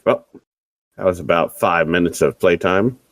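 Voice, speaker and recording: Low-voiced man with wry quips. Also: normal speed, no echo. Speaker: podcast co-host. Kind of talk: casual commentary chat.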